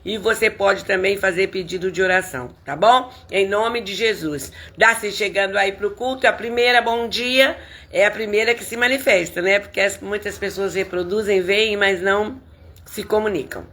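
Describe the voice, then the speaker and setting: A hoarse woman pastor with a Brazilian Portuguese accent, greeting viewers by name and praying fervently in a livestreamed service. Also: mid-range voice, moderate pace, a bit bright.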